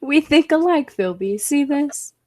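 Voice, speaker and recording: A woman's voice: high voice, expressive, a little slow. A woman playing a proud, driven inventor and time traveler in a 1940s-style radio play, speaking in formal, theatrical phrases. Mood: assured.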